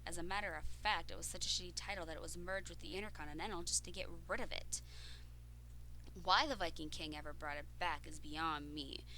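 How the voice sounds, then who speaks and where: A woman cuts an in-character wrestling promo for an audio role-play, scornful and brash, cursing as she dismisses her rivals. Her voice sits a little low yet comes across bright and forceful. A faint hum runs under the recording. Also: low-quality recording. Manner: scornful and brash, swears freely. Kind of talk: audio role-play monologue, trash talk.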